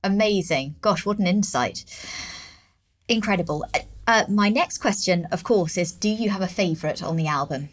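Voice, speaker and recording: A woman's voice: on the low side, lively intonation, normal speed. A woman hosting a music review show, listening closely and asking curious follow-up questions. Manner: attentive, curious. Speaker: host. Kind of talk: interview conversation.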